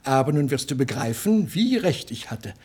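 A man's voice: medium pitch, big pitch swings, moderate pace, a little mellow, slightly rough. A man playing a proud, stubborn, pedantic old husband in a German radio play, precise and pompous. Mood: self-satisfied. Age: older.

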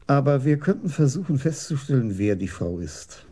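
An actor playing a methodical, commanding police chief in a German radio crime drama, speaking in a deliberate, formal way. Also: male voice, mid-range voice, big pitch swings, dark tone. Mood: methodical, businesslike.

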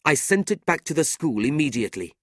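A man's voice: on the high side, big pitch swings, fairly quick, no room sound, studio quality, pitched above the performer's own voice. A man voicing a proud, honest young man who speaks earnestly and flares with indignation, in a storybook reading. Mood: indignant.

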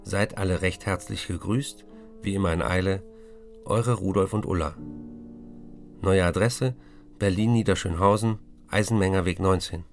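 A weary, wry postwar writer. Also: male voice, deep voice, normal speed, creaky, soft background music. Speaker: actor reading letters as the writer. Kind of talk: radio drama letter reading.

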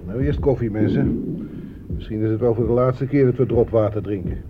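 Actor as chatty veteran soldier, muffled noisy recording. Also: male voice, mid-range voice, fairly quick, close to an everyday voice. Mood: matter-of-fact. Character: chatty, down-to-earth veteran front-line soldier. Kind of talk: radio play acting. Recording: muffled, noisy old radio play recording.

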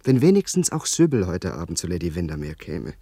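Husky, fastidious young society gentleman. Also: sits low, big pitch swings, no room sound, studio quality, full character voice. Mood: peevish, mocking. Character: young upper-class Englishman, fastidious, smitten, grumbling about society. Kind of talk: German radio drama acting.

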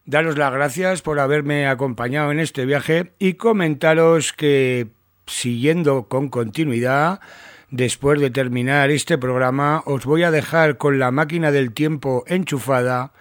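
A man's voice: on the high side, steady tone, measured pace, dry recording, clean studio sound. A man with an accent from Spain presenting a music radio show in a casual way. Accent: Spanish from Spain.

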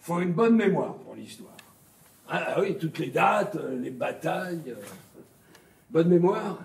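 A man's voice: a little high, lively intonation, unhurried, vocal fry, slight background noise, heavily acted. A man acting on stage as a brash, domineering American army major in French, blunt, sarcastic and coarse in his speech. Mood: sardonic, boastful. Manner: blunt, sarcastic, colloquial, swearing. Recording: distant echoey stage pickup.

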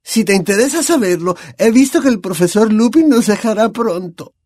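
A man's voice: very high voice, very animated, normal speed, darkish tone, dry recording, studio quality, pitched above the performer's own voice, full character voice. A man voicing an eccentric female seer with a distant, theatrical way of speaking. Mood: lofty.

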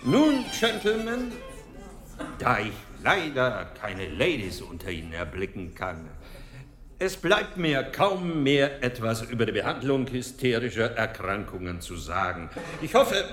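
A self-assured Viennese doctor lecturing in a German radio drama. His voice is bright and very animated, courteous and wryly emphatic, ringing through an echoey hall. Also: mid-range voice, measured pace, soft background music. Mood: wry, formal. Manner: courteous, theatrical, emphatic lecturing with repeated phrases.

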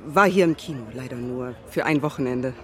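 A woman's voice: rumbling low, fairly quick. A woman playing a disappointed director's wife in a radio drama, with a dry, wry, understated way of talking. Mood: dry, wistful. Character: director's wife, disappointed former aspiring actress, dry wit.

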